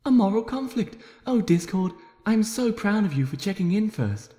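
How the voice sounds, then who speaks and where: A man's voice: sits high, very animated, normal speed, dark tone, reverberant, in a large hall, voice pushed up. A man voicing a sweet, timid female cartoon pony in a storybook reading. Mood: supportive.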